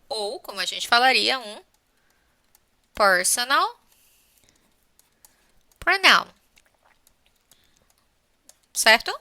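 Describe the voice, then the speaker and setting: A woman's voice: on the high side, bright, forceful tone, no echo, studio quality. A Brazilian woman teaching a grammar lesson in a conversational, step-by-step way, often checking that listeners follow. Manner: didactic, conversational, spells points out step by step. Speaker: English teacher.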